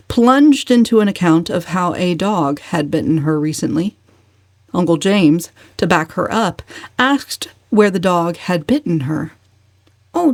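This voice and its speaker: A woman's voice: fairly low pitch, a little mellow, dry recording. Woman reading dramatic narration, very dry sound. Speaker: audiobook narrator.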